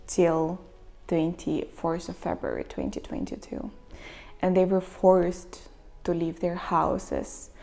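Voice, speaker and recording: A young woman with a Ukrainian accent, telling a personal story to camera in a halting, emotional way, close to tears. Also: lowish voice, gravelly, mellow. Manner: emotional, halting, near tears, with many pauses and fillers. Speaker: vlogger.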